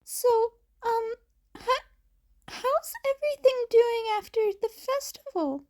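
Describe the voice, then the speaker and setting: A woman's voice: extremely high pitch, lively intonation, slow pace, a little mellow, pitched above the performer's own voice, full character voice. A woman voicing an anxious, apologetic teenage boy who rambles and stammers in an audiobook-style story. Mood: awkward.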